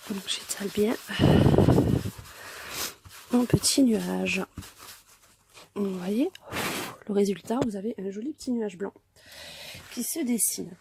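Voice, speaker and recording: Hoarse soft-spoken woman with a cold. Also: medium pitch, takes it slowly, pauses often, a little hiss or hum. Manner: soft-spoken, often near a whisper, hesitant. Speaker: craft tutorial host. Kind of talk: calm step-by-step craft tutorial.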